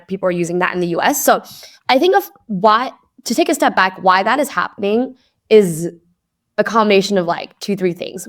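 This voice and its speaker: A young woman from Hong Kong, a venture investor, talking chattily as a podcast guest with lots of "like" fillers. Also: mid-range voice. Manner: chatty, upbeat, many "like" fillers. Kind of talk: casual podcast interview.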